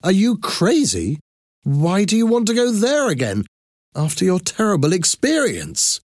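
Man doing an incredulous, high-pitched worried woman. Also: wildly swooping pitch, unhurried, no room sound, clean studio sound, exaggerated voice. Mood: alarmed disbelief. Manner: high, exclaiming, questioning. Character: incredulous, worried adult sister in a story.

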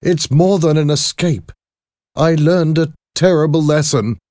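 A man voicing an anxious, secretive gentleman doctor in a storybook, speaking emphatically and earnestly. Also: on the high side, unhurried, no echo, clean studio sound, voice pushed up, lightly acted. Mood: anguished. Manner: emphatic, earnest, agitated delivery. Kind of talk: audiobook character acting.

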